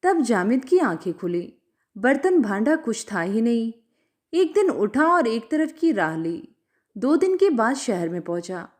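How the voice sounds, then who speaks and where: A woman reading a story aloud as a scripted, expressive narration. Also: a little high. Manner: expressive, acts out dialogue.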